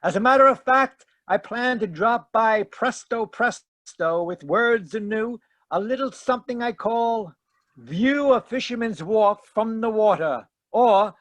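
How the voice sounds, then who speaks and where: An actor playing a wry, hardboiled private detective in an old-time radio drama, narrating in dramatic noir fashion. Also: male voice, high-pitched, expressive, unhurried, fairly bright tone, dry recording. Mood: wry, teasing.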